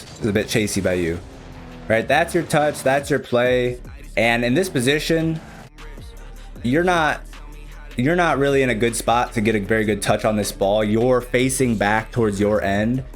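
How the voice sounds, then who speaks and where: A young man with a Chicago accent, casually explaining game replays as a streamer and coach, speaking directly to the player. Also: mid-range voice. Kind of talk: live-streamed replay analysis commentary.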